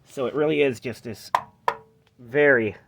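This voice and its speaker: A man's voice: medium pitch, unhurried, vocal fry, noisy background. Casually rambling man demonstrating gear. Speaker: vlogger showing vintage reel-to-reel tape recorder. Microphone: handheld phone camera.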